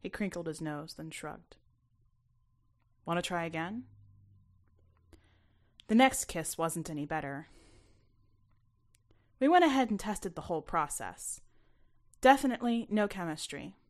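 A woman reading a first-person short story as an expressive narrator. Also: lowish voice, measured pace, pauses often. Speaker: author reading their own short story.

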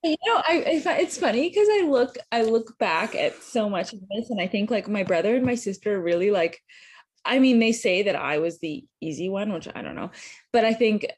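A woman's voice: mid-range voice, animated, fairly quick, breathy edge. A woman in her thirties, a physician guest on a podcast, talking in a chatty way with many likes and restarts. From Canada. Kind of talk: casual interview chat.

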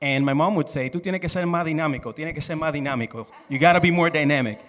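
A man's voice: fairly high pitch, quick pace, a bit bright. A man from the Dominican Republic and Miami telling a personal story on stage in an informal, joking manner. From Dominican Republic and Miami, USA. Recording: reverberant live hall.

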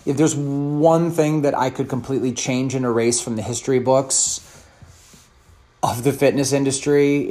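A man's voice: medium pitch, a little mellow. A man in his thirties, a fitness coach, answering a question in an earnest, thoughtful way, often restarting his sentences. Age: 30s.

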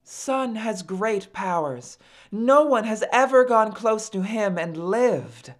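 A goddess mother from a storybook, acted by a woman. Her voice is slow and widely swooping, expressive and cautious as she counsels. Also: mid-range voice. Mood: cautioning. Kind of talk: storybook character acting.

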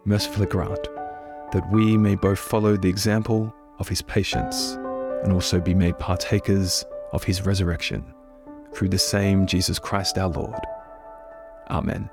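Hoarse, deep man leading prayer. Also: level tone, normal speed, music in the background. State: hoarse from a head cold. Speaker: church minister hosting a prayer podcast. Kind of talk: leading liturgical prayer and spoken reflection.

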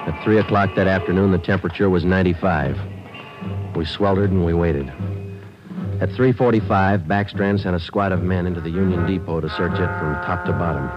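A man playing a terse, businesslike police sergeant in a 1940s radio drama, delivering dialogue and narration in a clipped deadpan. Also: low-pitched, fairly quick, close to an everyday voice. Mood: grim, measured. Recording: dull old radio recording, loud music.

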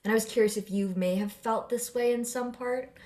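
A woman's voice: fairly high pitch, fairly even intonation, brisk, darkish tone. A young woman from California hosting a casual interview, sharing her own experiences at length before asking a reflective question. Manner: reflective, asks long personal questions. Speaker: host.

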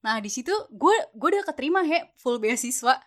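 A woman's voice: sits high, animated, fairly quick. A young woman, a student chatting casually about her story and padding it with slangy fillers. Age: young adult. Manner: chatty, slangy Jakarta speech with many fillers. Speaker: university student.

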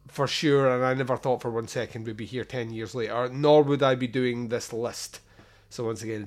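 A man presents a podcast on his own in a mid-pitched voice. He talks casually, wandering through asides and leaning on key words for emphasis. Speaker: podcast host. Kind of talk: casual solo talk introducing a film countdown.

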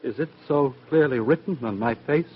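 A man's voice: mid-range voice, normal speed, breathy edge, lightly acted. An actor playing Adam, the first man, in an old radio drama, speaking in an earnest, declamatory way. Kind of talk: 1950s radio drama acting. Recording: dull old radio broadcast.

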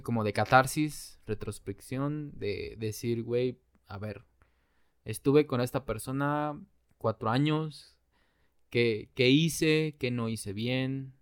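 A young Mexican man with a Mexican Spanish accent talks loosely with a friend. He is full of slang and laughs easily, and he turns slow and thoughtful when he gets serious. His voice sits at an everyday middle pitch. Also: clean tone, no room sound, studio quality. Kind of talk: casual chat.

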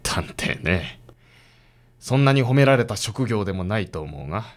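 A man's voice: lowish voice, big pitch swings, normal speed. Man acting a stern, stuffy father, sharply inflected. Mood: disdainful. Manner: stiff, formal, disapproving. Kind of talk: radio drama acting.

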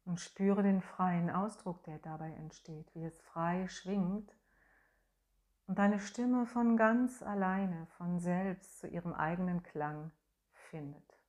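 A woman leading a guided voice and breathing meditation in a slow, soft, soothing way, humming tones to demonstrate. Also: lowish voice, steady tone. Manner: slow, soft, soothing instructions with long pauses; hums and sings sustained tones as demonstration.

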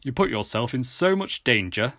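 A man's voice: medium pitch, big pitch swings, a little fast, a bit bright, dry recording, studio quality. A man voicing a stern, exasperated father, speaking tightly, in an audiobook story.

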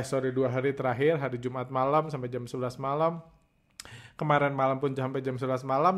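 A man with a hoarse voice from a heavy cold, hosting a stock trading podcast in a halting, casual way with many filler sounds. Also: mid-range voice. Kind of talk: casual market commentary.

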